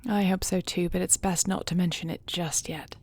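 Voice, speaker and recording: A woman playing a dragon queen and devoted wife in an audio roleplay, speaking in a formal, measured, earnest way. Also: fairly low pitch, little pitch movement, a little mellow, slight background noise, lightly acted. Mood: reassuring, resolute.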